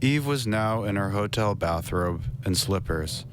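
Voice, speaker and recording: A man in his thirties narrating a literary radio drama in a measured, solemn, deliberate way, close to the microphone. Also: on the low side, lively intonation, background noise. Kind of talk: scripted literary narration, poem recital. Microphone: large microphone on a stand, close. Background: string instruments playing.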